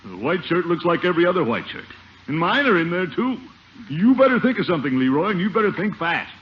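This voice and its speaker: An actor playing a blustery, soft-hearted middle-aged uncle in a theatrical 1950s radio sitcom style. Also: male voice, a little high, very animated, fast talker. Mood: exasperated. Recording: dull, noisy 1950 radio recording.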